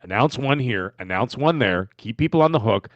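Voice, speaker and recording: A Canadian man co-hosting a casual gaming podcast, rambling and animated, with frequent restarts as he tells his story. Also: medium pitch, normal speed, no room sound, muffled recording. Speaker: podcast co-host.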